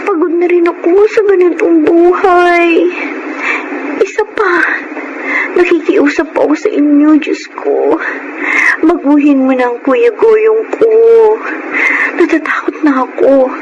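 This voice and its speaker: A woman's voice: sits high, takes it slowly, highs cut off. A young Filipino woman playing a devout, worried younger sister, earnest and pleading, in a radio drama. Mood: pleading, fearful. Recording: clearly noisy radio drama audio.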